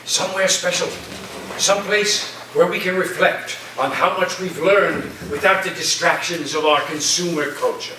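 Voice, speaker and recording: Eccentric professor-captain, played by a man: high, bright, deliberate and whimsical, stage reading in a very echoey room. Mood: whimsical, genial. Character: eccentric theatrical male college professor captaining a submarine. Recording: very echoey room, far off, faint noise.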